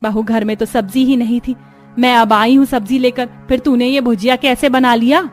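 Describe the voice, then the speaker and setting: Woman acting a stern, scolding mother-in-law, highish voice. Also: steady tone, moderate pace, soft background music, natural voice. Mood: puzzled. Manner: scolding, emphatic. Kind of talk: cartoon moral story dialogue.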